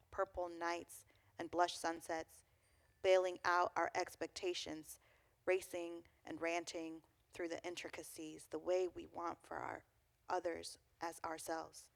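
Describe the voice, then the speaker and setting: A woman co-hosting a community radio show, speaking in a reflective, unhurried and affirming way. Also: fairly low pitch, gravelly. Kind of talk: warm community radio chat with some spoken poetry.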